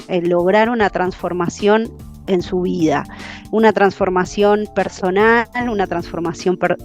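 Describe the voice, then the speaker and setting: A woman from Argentina with a Buenos Aires accent, a coaching trainer telling her story in an interview, talkative and quick to laugh. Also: medium pitch. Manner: talkative, laughs often, self-correcting.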